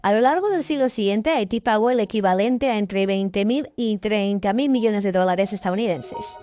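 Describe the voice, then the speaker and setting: A woman reading a scripted news documentary narration in a clear, measured way. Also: medium pitch, darkish tone.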